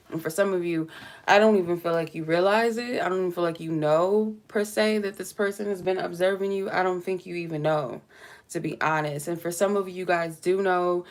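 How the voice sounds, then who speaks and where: A woman doing a casual, meandering tarot reading, chatty and lightly joking, sounding stuffy from a cold. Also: on the low side. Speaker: tarot reader. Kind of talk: casual spiritual reading to camera.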